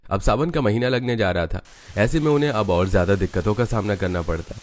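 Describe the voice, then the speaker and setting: A man's voice: on the low side, fast talker, a little mellow. A man narrating a scripted cartoon family story as its storyteller.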